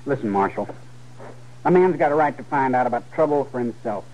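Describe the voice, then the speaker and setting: An American actor playing a sullen, defiant young cowhand with a curt rustic drawl in a radio Western. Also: male voice, mid-range voice, animated, fairly quick, darkish tone, close to an everyday voice. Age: young adult. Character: sullen, defiant young cowhand chafing under his father. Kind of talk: 1950s radio Western acting. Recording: muffled, faintly noisy old radio recording.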